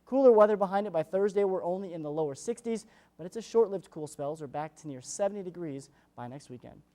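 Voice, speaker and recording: Mellow, low-voiced American woman forecasting weather. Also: expressive, fast talker. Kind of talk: live weather forecast presentation.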